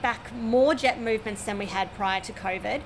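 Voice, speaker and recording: A woman's voice: on the high side, normal speed. A woman in her forties with an Australian accent, an air traffic controller giving detailed, matter-of-fact interview answers at a regional airport. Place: at a regional airport, overlooking the runway.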